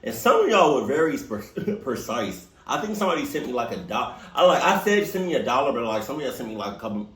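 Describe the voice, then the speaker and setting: A man's voice: medium pitch, quick pace. Young guy cracking jokes over a video, voice jumps all over, echoey room. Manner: joking, teasing, animated asides. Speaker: reaction video host. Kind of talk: casual reaction commentary.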